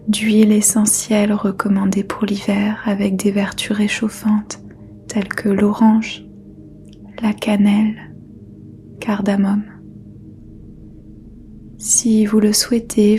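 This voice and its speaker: A woman whispering a guided yoga nidra meditation as its teacher, soft and unhurried throughout. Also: mid-range voice, slight background noise.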